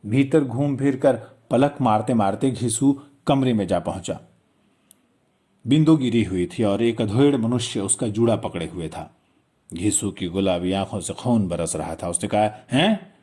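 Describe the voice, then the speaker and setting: A man's voice: fairly low pitch, measured pace, warm tone. A man narrating a literary story in a dramatic storytelling style.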